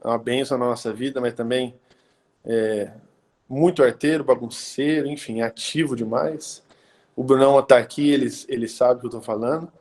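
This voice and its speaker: A man, talking as a pastor on a live stream. His pitch sits in an ordinary middle range for a man. He keeps it relaxed and conversational, leaning on hesitations and tag questions. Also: normal speed. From Brazil, interior of São Paulo state. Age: 30s. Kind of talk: casual live-stream talk.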